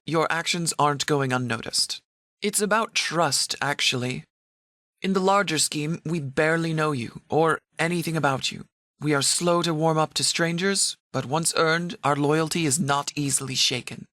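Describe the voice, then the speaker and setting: A man's voice: a little high, a bit bright, dry recording. Man playing a stern, dry-recorded game sheriff. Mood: formal, approving. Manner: measured, formal, authoritative, clipped orders. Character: stern, composed sheriff of a post-apocalyptic militia. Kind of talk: scripted video game dialogue.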